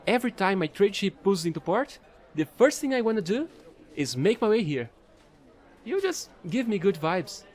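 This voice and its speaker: A man playing a chatty, excitable fallen alien angel who trades in space, gushing and rambling in an audio roleplay. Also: high-pitched, moderate pace. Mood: eager, friendly. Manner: bubbly, gushing, rambling, apologetic.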